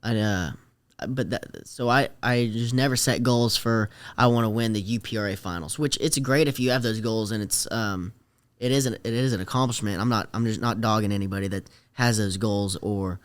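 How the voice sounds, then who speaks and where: A young man chatting casually as a podcast guest, easygoing and trailing off softly at the ends of his thoughts. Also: lowish voice, moderate pace, smooth. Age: young adult. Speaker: podcast guest, rodeo rider. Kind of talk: casual interview chat.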